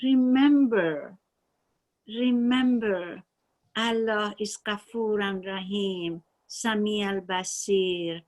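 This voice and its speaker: Slow, earnest woman with a Persian accent. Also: mid-range voice, a little mellow. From Iran. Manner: earnest, halting, with many restarts. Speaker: class participant. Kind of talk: personal testimony.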